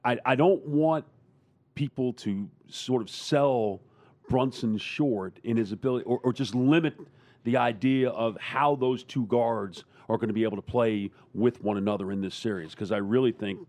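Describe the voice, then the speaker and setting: A man's voice: medium pitch, normal speed, darkish tone, no room sound. A man who works as a radio broadcaster, talking emphatically in a sports roundtable and repeating phrases for stress.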